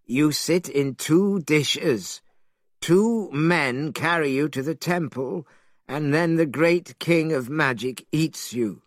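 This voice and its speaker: A man voicing a quiet, grave village father in a storybook reading. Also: a little high, slow pace, no room sound, clean studio sound, pitched above the performer's own voice.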